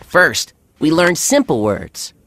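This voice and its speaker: A performer playing an earnest, friendly schoolboy who narrates a children's animated story in a measured, clearly enunciated way. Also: mid-range voice, slight background noise, exaggerated voice. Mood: earnest storytelling.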